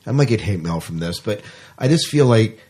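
A man's voice: lowish voice, animated, a little fast, darkish tone. A man chatting casually as a podcast co-host, rambling along and often repeating his words and phrases.

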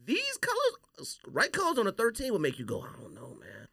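A man's voice: high voice, huge pitch swings, fairly quick, vocal fry, sharp tone, dry recording, studio quality. A man chatting casually as a podcast host, slangy and opinionated in the way he talks. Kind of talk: casual chat.

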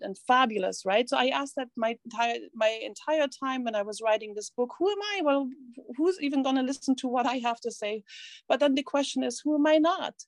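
A woman author whose first language is not English, answering interview questions earnestly, with halting restarts. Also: a little high, darkish tone, no echo. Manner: earnest, halting, repeats words. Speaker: guest author.

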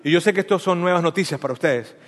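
Male preacher, light high voice, playful storyteller, reverberant hall. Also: fast. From Venezuela. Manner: storytelling with humor, asks the audience questions. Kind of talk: sermon.

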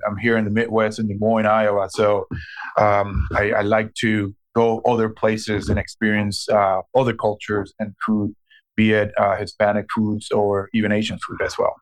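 A middle-aged man from Puerto Rico, a marketing director answering interview questions with many pauses and restarts. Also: lowish voice, poor audio. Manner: hesitant, many fillers and restarts.

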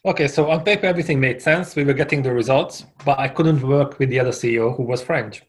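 A man from Hungary, a guest on a podcast, answering questions in a relaxed, conversational way and laughing easily. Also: medium pitch, quick pace. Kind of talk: casual interview answers.

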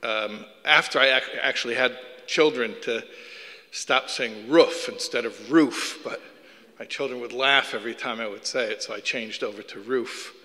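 A man's voice: mid-range voice, normal speed, sharp tone, long reverb tail. A man from Chicago with a Chicago accent giving a public lecture, earnest and self-deprecating, with joking asides and frequent restarts. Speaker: guest lecturer.

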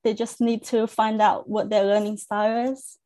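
Earnest young woman from China, warm tone. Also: mid-range voice, level tone, normal speed. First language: Chinese. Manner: thoughtful, earnest, with frequent restarts. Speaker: academic coach, guest. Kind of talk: podcast interview.

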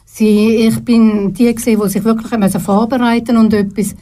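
An older woman with a Swiss German accent, a guest in a radio studio interview, who talks thoughtfully and pauses often to search for words. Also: a little high, level tone, dark tone, a little hiss or hum. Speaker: guest, retired TV presenter.

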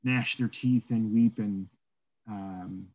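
A man's voice: lowish voice, steady tone, slow pace, a little mellow, low-quality recording. A man co-hosting a podcast, talking casually about folklore and history in an informal way, often checking in with "right?". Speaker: podcast co-host.

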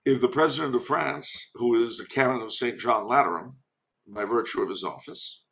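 Male podcast guest, American, gravelly creak, wry and emphatic opinionated talk over a remote call. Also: mid-range voice, normal speed, fairly bright tone, poor audio. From United States. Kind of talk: conversational opinion and commentary. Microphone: remote internet call.

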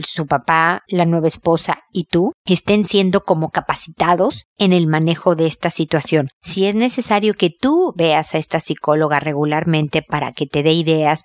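A woman's voice: lowish voice, dry recording. A middle-aged woman from Mexico with a Mexican accent, answering listeners in a conversational, reassuring and explanatory way, like an advice host. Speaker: host, advice-giving psychologist.

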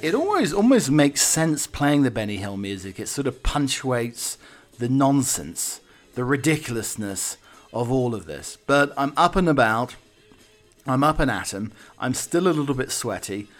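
A man's voice: medium pitch, sing-song, normal speed, soft background music. A man hosting a podcast in a casual, wry monologue, self-deprecating and mock-dramatic, often stumbling and repeating his words. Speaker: podcast host.

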